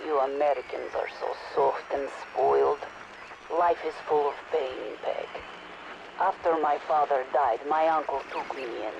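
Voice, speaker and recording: A woman's voice: extremely low pitch, steady tone, a little slow, creaky, exaggerated voice. Ruthless female scientist, scornful and matter-of-fact, lo-fi game audio log. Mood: scornful, hard. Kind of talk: dramatic game dialogue. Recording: dull, noisy radio-like log recording.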